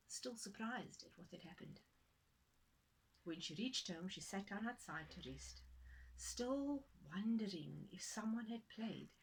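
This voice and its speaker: A woman's voice: mid-range voice, slight background noise, rough recording. A woman storyteller narrating a folktale for children, dramatic and playful in her delivery.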